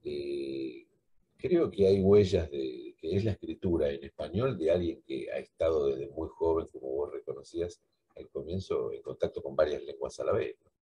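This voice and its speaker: A man's voice: rumbling low, normal speed, dark tone, a little hiss or hum. A man, speaking reflectively on a video call from a library cubicle and repeating words as he thinks. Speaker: guest translator. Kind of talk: conversational discussion.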